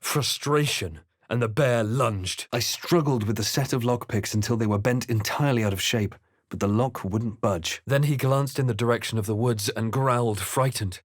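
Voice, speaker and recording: An actor playing a brooding fantasy adventurer in a game, narrating his quest in a grave, measured, deliberate way. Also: medium pitch, no echo, studio quality, close to an everyday voice. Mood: tense, wary. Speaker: video game player-character voice. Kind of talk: dramatic first-person game narration.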